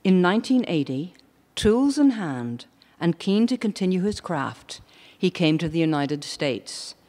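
A host reads a prepared introduction at a steady middle pitch. The voice carries the long reverb of a lecture hall. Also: measured pace. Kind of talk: formal introduction.

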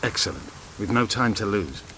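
An actor playing a proud, bitter elven rebel commander in a fantasy game, grave and declamatory. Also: male voice, on the low side, lively intonation, moderate pace, background noise, close to an everyday voice. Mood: commanding. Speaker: video game character actor. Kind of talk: game dialogue acting.

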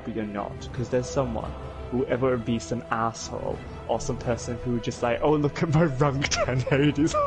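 Dramatic young Yorkshire man, lively voice. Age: young adult. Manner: theatrical, self-pitying, swings into high whiny voices. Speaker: streamer playing with a friend. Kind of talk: casual gaming chat. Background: game music.

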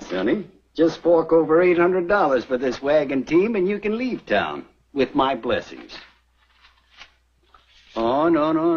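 A taunting frontier sheriff. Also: male voice, mid-range voice. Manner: taunting, drawling. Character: gruff sly frontier sheriff, middle-aged man. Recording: dull old TV soundtrack with faint hiss.

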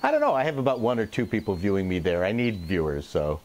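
A man's voice: on the low side, very animated, quick pace. A middle-aged man from Canada, a guest in a casual chat, talking with dry, teasing humor on a lapel mic.

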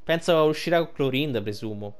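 A man's voice: mid-range voice, lively intonation, fairly quick. A man giving casual, colloquial game commentary, rambling and thinking aloud. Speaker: gameplay video creator. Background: game music.

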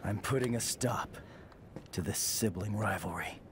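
A man's voice: fairly low pitch, unhurried, background noise, natural voice. A man acting a defiant, hot-headed young demon hunter in a video game, with intense, emphatic delivery. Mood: angry, forceful. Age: young adult.

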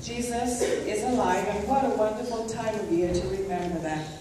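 A woman's voice: medium pitch, normal speed. A middle-aged woman leading a children's church group, informally addressing a congregation through a handheld microphone in a large sanctuary. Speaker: children's Sabbath school leader. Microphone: handheld mic, recorded by a distant camera. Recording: echoey church hall from far off, background noise.

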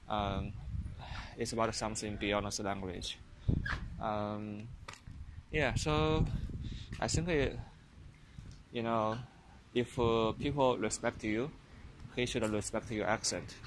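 A young man with a Chinese accent talking in a casual vlog, hesitant and full of fillers and restarts, recorded on a handheld phone while walking outdoors on a campus. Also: fairly low pitch. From China. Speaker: vlogger. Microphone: handheld phone camera while walking. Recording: outdoor noise behind the voice.

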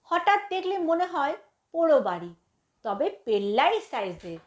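A woman storyteller giving an expressive scripted narration of a tale. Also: high-pitched, unhurried.

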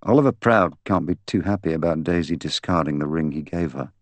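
Audiobook role: courteous, probing male inspector, measured questioning, very low pitch acted by a man. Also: no room sound. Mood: polite, probing. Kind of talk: audiobook character dialogue.

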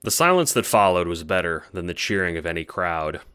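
A man's voice: low voice, sing-song, brisk, sharp tone, clear, resonant tone, exaggerated voice. A man playing a cocky, bitter young alien troll girl in a dramatic, boastful first-person monologue. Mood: smug, scornful. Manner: boastful, theatrical, scornful.

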